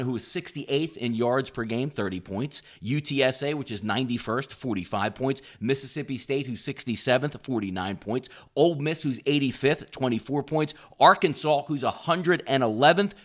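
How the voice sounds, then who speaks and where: Male sports betting host, mid-pitched voice, conversational but punchy pick-of-the-day commentary with a sales push. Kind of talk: sports betting picks commentary, addressing listeners.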